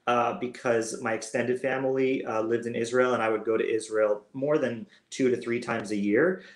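A man's voice: medium pitch, steady tone, moderate pace. A young American man, a guest performer on a video call, answering interview questions in an upbeat, expansive way. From United States. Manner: upbeat, expansive, gestures while talking. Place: home room with world map on wall. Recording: roomy video call audio.

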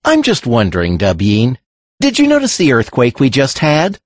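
An actor playing a sarcastic islander with a mild, friendly-sounding manner in a fantasy audiobook. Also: male voice, medium pitch, wildly swooping pitch, normal speed, no room sound. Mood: wry sarcasm. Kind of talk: full-cast fantasy audiobook acting.

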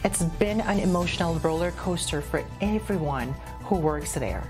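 A woman's voice: fairly low pitch, lively intonation, moderate pace, music in the background. A woman news anchor reading and interviewing in a formal broadcast style.

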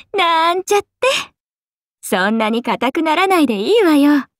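An easygoing serpent goddess for a game. Her high voice moves freely up and down at an unhurried pace. She is chatty, friendly and teasing, with slangy, laid-back phrasing. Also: no room sound, full character voice. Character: breezy, friendly, casual grown serpent-goddess oni woman. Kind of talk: game character dialogue.

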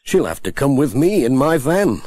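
A man's voice: medium pitch, big pitch swings, normal speed, mellow, no room sound, clean studio sound, pitched above the performer's own voice. A man voicing a cheerful, kindly country postman.

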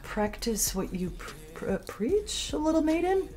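A woman's voice: high voice, sing-song, measured pace, darkish tone. A woman voicing an awkward, flirty grim reaper puppet in a bawdy improvised show, hesitant, stammering and giggly.

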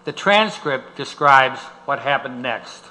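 A man's voice: medium pitch, animated, slow pace, sharp tone, rough recording. An older man giving a prepared speech to an audience, telling a story in an emphatic, dramatic manner.

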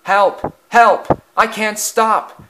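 A man voicing a smug, excitable steam engine in a children's railway story, speaking in urgent exclamations. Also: medium pitch, slow pace, noisy background, natural voice. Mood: panicked.

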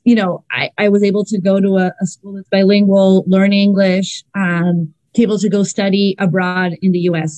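A woman's voice: mid-range voice, a little mellow, no room sound, low-quality recording. A woman from Ecuador, a clinical psychologist, presenting casually in an online seminar with many filler sounds. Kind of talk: academic online seminar talk. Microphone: video call.

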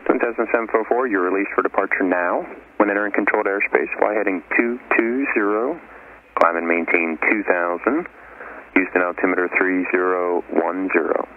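A narrow, phone-like radio channel with faint noise carries a very low-voiced man. He is an air traffic controller, issuing procedural instructions at a rapid clip. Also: steady tone. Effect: aviation radio.

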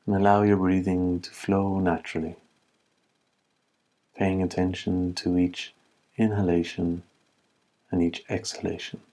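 Slow, deep-voiced man guiding meditation. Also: rough recording. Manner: calm, measured instruction with long pauses. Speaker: meditation instructor. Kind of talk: guided meditation narration.

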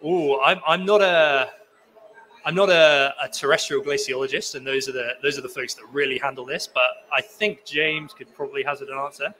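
A man's voice: mid-range voice, fairly even intonation, normal speed, pushed and bright, rough recording. A young man, a sea ice scientist, speaking at a conference podium and answering questions, with many restarts and fillers. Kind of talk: conference talk and Q&A. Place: conference pavilion hall, indoors. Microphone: podium microphone.

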